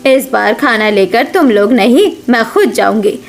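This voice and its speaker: A slightly high-voiced older mother-in-law from a family cartoon, acted by a woman. She is motherly and earnest, worrying over her household but determined in what she says. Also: lively intonation, moderate pace. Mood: concerned, determined. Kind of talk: Hindi animated family story acting.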